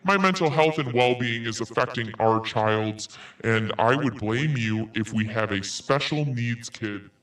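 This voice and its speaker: A man's voice: medium pitch, measured pace, few pauses, a bit bright, distant mic, soft background music, rough recording. A man podcast host reading an email aloud in a theatrical, emphatic way. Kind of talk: dramatic read-aloud of an email.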